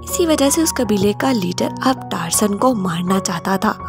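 A woman narrating a movie plot recap in a steady, scripted voice-over. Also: mid-range voice, normal speed. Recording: voice-over with loud background music.

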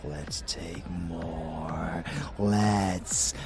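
A man's voice: sits low, fairly even intonation, vocal fry, exaggerated voice. A man voicing a rebellious, murderous vampire lover in an ASMR roleplay, doting and gleefully sadistic, with a crude, seductive murmur. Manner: slow seductive murmur, crude, savoring words. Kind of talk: intimate ASMR roleplay audio drama. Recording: loud club music behind voice. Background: nightclub dance music.

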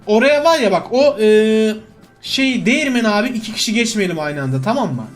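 A man's voice: high-pitched, lively intonation, a little fast, soft background music. A man giving casual, slangy co-op gameplay commentary to a friend, full of exclamations and repeated words. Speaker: gaming video host.

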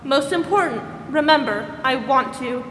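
Girl acting polite caring social worker lady, old radio drama, high voice, echoey hall. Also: moderate pace, a bit bright. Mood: reassuring. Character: polite, concerned, helpful woman social worker. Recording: roomy stage hall, faint noise.

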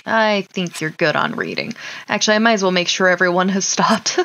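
A woman's voice: lowish voice, sing-song, a little fast, vocal fry, fairly bright tone, highs cut off. Chatty woman narrating her sim game. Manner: chatty, laughs often, talks to her sims. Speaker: Sims gameplay streamer. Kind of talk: casual gameplay commentary.

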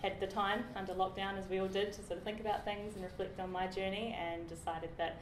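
A woman's voice: mid-range voice, brisk. A young woman from New Zealand with a New Zealand accent, chatting casually as an engineer being interviewed, with frequent fillers and restarts. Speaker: project engineer guest. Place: office meeting room with large windows. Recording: roomy office with steady background noise.

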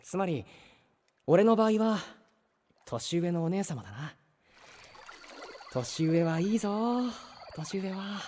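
A woman's voice: lowish voice, lively intonation, slow, long pauses, mellow. A woman playing a good-natured, easily flustered teenage boy in an anime audio drama, with casual boyish speech. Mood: dreamy.

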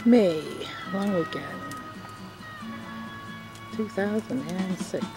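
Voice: mid-range voice, slow pace, music in the background. A person giving casual commentary while filming a camping trip outdoors. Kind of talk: casual home-video commentary. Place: outdoor campsite by a lake. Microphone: camcorder microphone.

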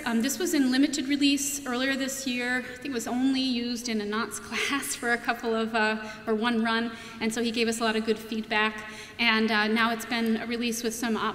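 A woman's voice: fairly high pitch, a bit bright, slight background noise. A young adult woman giving a conference keynote from a podium, talking in a casual way with many fillers and restarts. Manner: conversational, frequent fillers and restarts. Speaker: keynote speaker presenting product features. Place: large auditorium stage at a podium. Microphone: podium mic from a far camera. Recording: echoey auditorium with long reverb.

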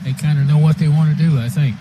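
A man's voice: a little high, fairly even intonation, fast, dark tone. An American man giving conversational color commentary on a live football radio broadcast. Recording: noisy live stadium broadcast.

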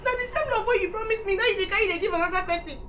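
A woman's voice: extremely high pitch, very fast, fairly bright tone. A woman with a Nigerian accent playing a betrayed, furious young lover in a Pidgin comedy-drama, wailing and scolding. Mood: hurt, accusing. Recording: distant mic with faint noise.

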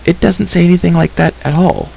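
A man's voice: mid-range voice, animated, brisk, a little hiss or hum, natural voice, rough recording. A man voicing a headstrong, impatient girl in an emphatic, chattering way for a storybook reading. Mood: intent. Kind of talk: audiobook character reading.

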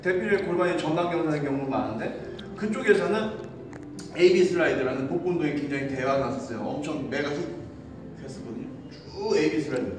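A man in his thirties, a fitness coach, giving an animated exercise lesson while demonstrating moves in a large indoor gym, filmed from a distance. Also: on the high side, quick pace, background noise. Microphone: far camera. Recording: echoey gym from far off.

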